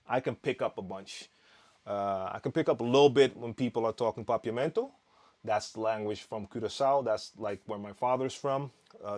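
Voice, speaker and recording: Relaxed man chatting on a live stream. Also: on the low side. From Netherlands. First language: Dutch. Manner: relaxed, chatty, reading viewer comments aloud with frequent fillers and restarts. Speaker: DJ hosting a live Q&A.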